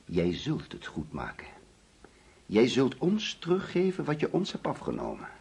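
A middle-aged, grieving father in a radio play, eerily calm and controlling. His voice is very husky and slow, swinging widely in pitch as he coaxes and commands. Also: exaggerated voice. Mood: bitter, accusing. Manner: deliberate, coaxing, commanding. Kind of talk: radio drama acting.

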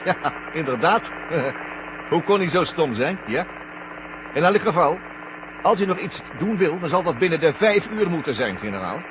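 An actor playing a smug, scornful traitor astronaut who talks in a mocking, condescending way, in a 1970 Dutch radio drama. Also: male voice, on the high side, very animated, moderate pace, background noise. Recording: muffled, humming 1970 radio recording.

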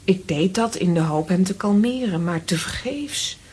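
An actress playing a composed young lady of a castle in a children's audio drama, soothing and husky. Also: lowish voice, animated, moderate pace, a little mellow. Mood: composed, placating.

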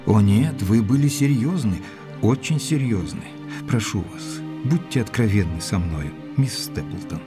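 Earnest male gentleman doctor, low-pitched, measured, courteous, audio drama, soft music behind. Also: lively intonation. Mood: earnest concern. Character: earnest gentlemanly doctor, loyal and cautious, narrating. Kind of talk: audio drama narration and dialogue.

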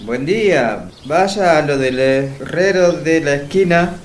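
A man's voice: a little high, animated, slow, echoey room, a little hiss or hum, voice pushed up. A man playing a helpful village shopkeeper in an amateur radio play, with music behind.

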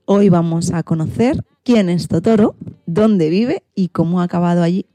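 Low, mellow woman radio narrator. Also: moderate pace, no echo. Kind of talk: scripted narration for a children's programme.

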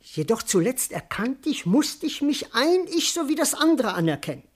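An actor playing a cheeky, fearful and bewildered servant in a classical German radio play, with theatrical, elaborate declamation. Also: male voice, very high voice, very animated, moderate pace, heavily acted. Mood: insistent, bewildered.

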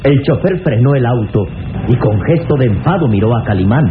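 A man's voice: mid-range voice, lively intonation, a little fast, very noisy. A man reading scripted narration for a 1960s radio serial. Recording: tinny old radio recording with music.

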